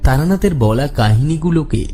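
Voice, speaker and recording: A man's voice: a little high, animated, normal speed, mellow, faint music behind. A man reading scripted first-person story narration for an audio drama.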